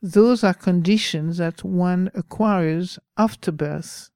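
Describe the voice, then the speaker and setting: A woman with a French accent giving a slow, pausing dharma talk as a meditation teacher. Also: fairly low pitch, warm tone, dry recording, clean studio sound.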